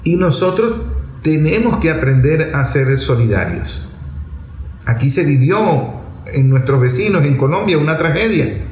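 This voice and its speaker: A man with a Venezuelan accent giving an unscripted political monologue, speaking emphatically in short, repeated phrases. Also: fairly high pitch. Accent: Venezuelan Spanish. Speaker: political commentator and activist. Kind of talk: political monologue, spoken off the cuff. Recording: echoey room with faint background noise.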